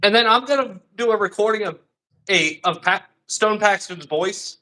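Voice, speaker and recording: A man's voice: high-pitched, normal speed, bright, forceful tone, low-quality recording. A young man who makes music, talking casually and earnestly as a guest on a livestream.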